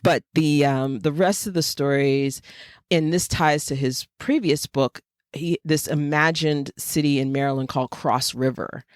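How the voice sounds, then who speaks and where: Low-key dry-recorded woman chatting about books. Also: steady tone, measured pace, no room sound. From Jacksonville, Florida, United States. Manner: enthusiastic, emphatic. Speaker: podcast co-host, fiction writer. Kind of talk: casual book chat.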